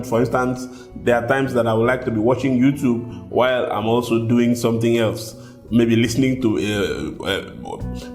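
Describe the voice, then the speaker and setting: Nigerian man chatting in an echoey room. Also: mid-range voice. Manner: conversational, repeats words while thinking, addresses viewers as guys. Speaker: tech vlogger. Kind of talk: casual talk to camera. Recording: echoey room with soft guitar music behind.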